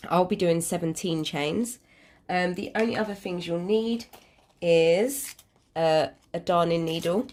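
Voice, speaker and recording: British woman bunged up with a cold, kinda low voice, rambly chatty tutorial, puts herself down a bit. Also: unhurried, darkish tone. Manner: self-deprecating, hesitant, rambling. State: congested with a cold. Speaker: crafting channel host. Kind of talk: informal crochet tutorial.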